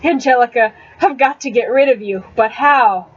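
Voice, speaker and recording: A woman's voice: a little high, normal speed, close to an everyday voice. A woman reading the part of an anxious, sarcastic teenage boy writer in a storybook, on a webcam recording. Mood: fretful. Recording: dull, noisy webcam audio.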